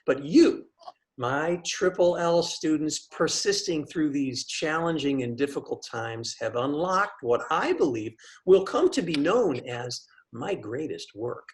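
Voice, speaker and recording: A pompous professor and would-be playwright in a web comedy. He speaks in a mid-pitched voice with theatrical flourish. He halts and stammers, thin-skinned about his work. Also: lively intonation. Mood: grandiose. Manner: halting, theatrical, stammering when challenged. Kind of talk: scripted comedy acting on a video call.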